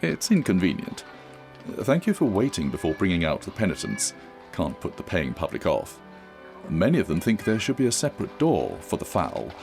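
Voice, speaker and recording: A man's voice: sits low, huge pitch swings, normal speed, creaky, a little mellow, faint music behind, heavily acted. A man playing a pompous, pious eighteenth-century clergyman in an audio drama, with a sermonizing, condescending manner. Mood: businesslike, condescending. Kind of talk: period audio drama acting, preaching and narration.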